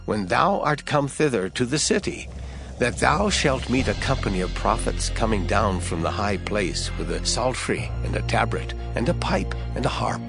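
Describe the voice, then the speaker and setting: An actor playing an authoritative, assured prophet in dramatized scripture, solemn, declamatory and deliberate. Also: male voice, lowish voice, lively intonation, vocal fry. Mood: solemn, prophetic. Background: background music.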